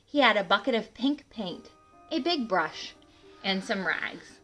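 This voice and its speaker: A woman reading a picture book aloud to children in an animated way, adding asides and questions to the listeners. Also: mid-range voice, unhurried, soft background music. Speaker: read-aloud host for children.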